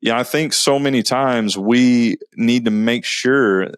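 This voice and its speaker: A middle-aged man, co-hosting a casual family talk show in a folksy, halting, self-deprecating way. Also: medium pitch, smooth, no echo. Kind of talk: casual conversational chat.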